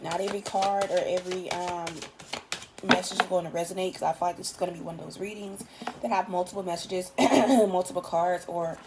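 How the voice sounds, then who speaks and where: A tired woman with a raspy voice, giving a casual, chatty tarot reading in loose, swearing everyday talk. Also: fairly low pitch, steady tone, fairly quick, noisy background. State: just woke up, tired. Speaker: tarot reader.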